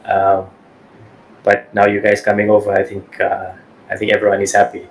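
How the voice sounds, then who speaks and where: Male podcast host, low and even-toned, stop-start questions. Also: gravelly, rough recording. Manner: halting, repeats words and says "you know". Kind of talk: podcast interview.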